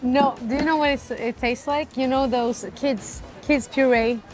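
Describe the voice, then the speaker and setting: A woman in her thirties with a Swedish accent talks through a casual food tasting, her voice pitched high and chatty. She is on a clip-on mic outdoors under a roof, with faint music in the background. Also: measured pace, low-quality recording. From Sweden. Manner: chatty, animated, rates food out loud. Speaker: food vlogger. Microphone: lapel mic.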